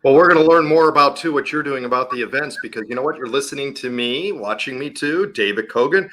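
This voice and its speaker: A man hosting a talk radio interview show, chatty and energetic, plugging the show's website between questions. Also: mid-range voice, fast, slight background noise.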